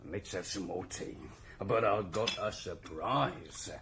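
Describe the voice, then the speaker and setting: A gruff but kindly working-class English father in a radio drama. His voice is low and creaky, with slow delivery. He talks in a homely, plain-spoken way. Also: background noise. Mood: proud, coaxing.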